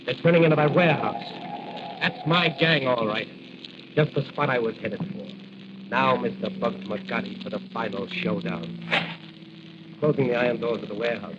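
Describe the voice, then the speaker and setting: A man's voice: mid-range voice, normal speed, close to an everyday voice. Righteous crime-fighting hero, male actor, American, commanding radio-serial delivery, muffled recording with heavy noise. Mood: determined. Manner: declamatory, commanding tough talk. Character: heroic masked crime fighter, bold, righteous young man. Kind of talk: 1940s radio adventure serial acting. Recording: dull, noisy old radio recording.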